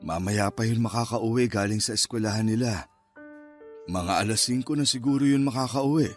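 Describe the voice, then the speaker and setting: An actor playing a steady elderly grandfather with a measured, consoling way of talking, in a Filipino-accented Tagalog radio drama. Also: medium pitch, faint music behind, natural voice. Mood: resolute, gentle.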